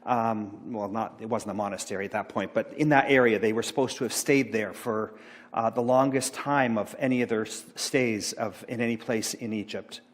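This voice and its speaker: A middle-aged man giving an informal sermon, rambling and halting with many fillers and restarts. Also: on the low side, steady tone. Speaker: pastor. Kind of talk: informal sermon talk about slides. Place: church sanctuary. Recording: long church hall reverb.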